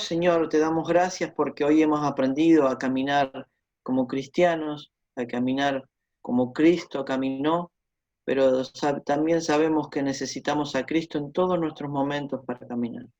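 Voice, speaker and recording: A man with a slightly high voice speaks earnestly, stumbling and repeating words. He leads a quiet prayer. The recording sounds dull and lo-fi. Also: fairly even intonation, moderate pace. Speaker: Bible teacher. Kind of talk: greetings and closing prayer.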